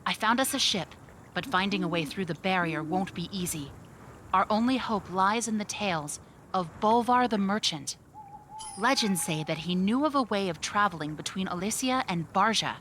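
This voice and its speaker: An actress playing an earnest, resolute ally in a fantasy video game cutscene. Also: female voice, medium pitch, expressive, moderate pace, fairly bright tone, a little hiss or hum, close to an everyday voice. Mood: earnest resolve.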